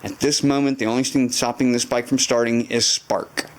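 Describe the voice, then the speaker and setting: A man's voice: mid-range voice, fairly even intonation, normal speed. A man in his garage talking through a motorcycle repair to camera, thinking aloud with lots of fillers and restarts. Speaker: motorcycle restoration vlogger. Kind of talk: casual garage walkthrough to camera. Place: home garage.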